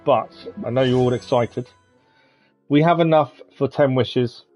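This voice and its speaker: A man's voice: mid-range voice, measured pace, darkish tone. A man giving casual live commentary as he streams a video game. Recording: dull lo-fi stream mic, game music. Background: game music.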